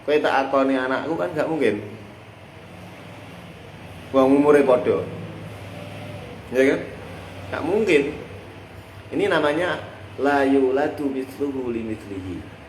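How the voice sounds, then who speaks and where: A man's voice: medium pitch, measured pace, pauses often. Javanese-accented man teaching a religious lesson. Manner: explains with everyday examples, asks questions and lets listeners finish his sentences. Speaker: teacher of a classical Islamic text. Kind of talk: religious study lesson, explaining in mixed Indonesian and Javanese. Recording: echoey room with faint background noise.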